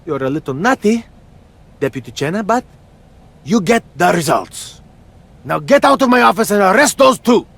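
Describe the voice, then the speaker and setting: A man's voice: high-pitched, big pitch swings, moderate pace, vocal fry, pauses often, fairly bright tone, exaggerated voice. A man voicing a goofy, self-important wrestler who plays policeman in a video game, theatrical and mock-heroic. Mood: pompous, excitable. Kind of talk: video game cutscene acting.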